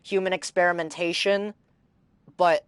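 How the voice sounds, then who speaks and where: A man's voice: high-pitched, flat delivery, unhurried, fairly bright tone, smooth, no echo. Casual male game streamer musing aloud. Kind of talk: casual livestream chat while playing.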